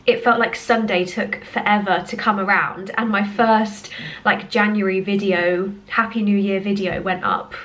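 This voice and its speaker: A woman's voice: medium pitch, fairly even intonation, moderate pace, a bit bright. Nasal woman with a cold. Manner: chatty and upbeat, frequent little laughs. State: has a cold. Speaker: home decor vlogger. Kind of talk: casual chat to camera. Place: at home, indoors. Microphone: handheld camera.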